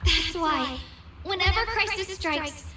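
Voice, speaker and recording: A very high young female voice plays a modest, gentle hero in a video game. She speaks slowly and sincerely, echoing as if in a large space, over faint music. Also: exaggerated voice. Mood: earnest, tender. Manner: hesitant, sincere, unhurried. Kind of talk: heartfelt public speech in game. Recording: distant echoing speech, soft music behind.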